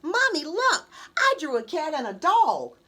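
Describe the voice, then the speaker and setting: A woman's voice: high-pitched, wildly swooping pitch, unhurried, sharp tone, heavily acted. A woman voicing a curious, wide-eyed little first-grade girl from a children's storybook, questioning and exclaiming. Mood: eager, indignant.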